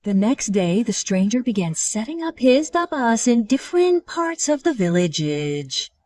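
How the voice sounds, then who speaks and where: A woman's voice: a little high, very animated, measured pace, dark tone, far from the mic. A woman reading scripted storybook narration.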